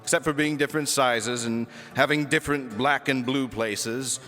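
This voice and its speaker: A man's voice: mid-range voice, steady tone, moderate pace, pushed and bright, long reverb tail, faint music behind. A man reading a children's book aloud as a storyteller, with theatrical, emphatic delivery. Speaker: audiobook reader.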